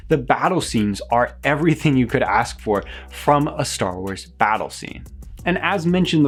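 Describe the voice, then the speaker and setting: A man reading a scripted review as host, with an enthusiastic, emphatic presenter's delivery. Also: mid-range voice. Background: background music.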